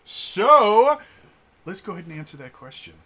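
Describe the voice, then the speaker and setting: A man with a deep voice giving a casual solo review of a tabletop game book, often stuttering on the first sound of a word between fillers. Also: sing-song, moderate pace, pushed and bright. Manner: stuttering restarts on words, many fillers.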